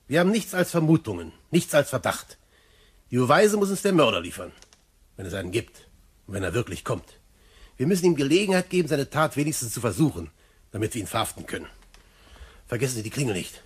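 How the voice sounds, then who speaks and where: An actor playing a calm, businesslike police inspector in a German crime radio play. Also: male voice, mid-range voice, brisk, slightly rough. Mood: calm, reassuring.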